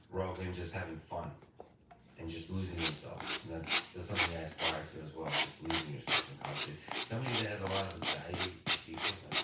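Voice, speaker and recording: Male host, halting and self-critical, echoey distant recording with loud noise. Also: low-pitched. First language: Russian. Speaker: podcast host. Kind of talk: casual conversation.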